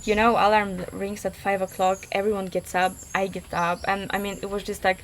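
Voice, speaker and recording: A young woman from Poland, Polish-accented, answers questions in an interview at a middling pitch. She talks hesitantly, full of fillers and restarts. Faint background noise sits under her voice. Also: little pitch movement, fairly quick. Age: 20s. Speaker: guest. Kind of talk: casual interview.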